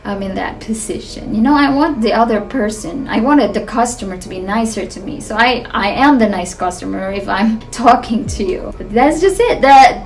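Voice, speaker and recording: Vlogger: woman in her 30s, Filipino accent, slightly high voice, earnest and chatty, faint music under. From Philippines. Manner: chatty and earnest, light laughs, frequent restarts. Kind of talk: casual talk to camera.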